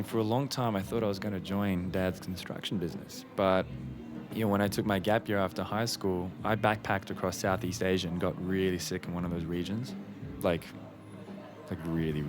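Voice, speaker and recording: An actor as an attentive, friendly young man from Australia in an audio drama. His voice is low, and he talks casually with gentle hesitation. Music plays clearly behind him. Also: steady tone, normal speed, close to an everyday voice. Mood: earnest, attentive. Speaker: actor playing the love interest.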